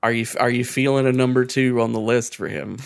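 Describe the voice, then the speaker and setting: Podcast co-host, average pitch, jokey and informal, swears freely while telling stories in loose conversation. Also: steady tone, brisk, gravelly, clean tone, no echo, studio quality. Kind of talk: casual chat, ranking horror game killers.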